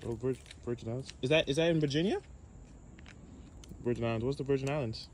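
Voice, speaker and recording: A man's voice: mid-range voice, quick pace, darkish tone, a little hiss or hum. A man in casual street conversation, sounding hesitant and clueless as he asks questions.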